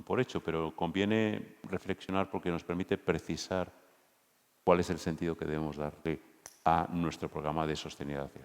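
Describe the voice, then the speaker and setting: Man, Spanish accent from Spain, very deep pitch, restrained intonation, deliberate, round-table moderator, echoing hall. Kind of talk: semi-formal spoken introduction to a debate. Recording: reverberant hall sound.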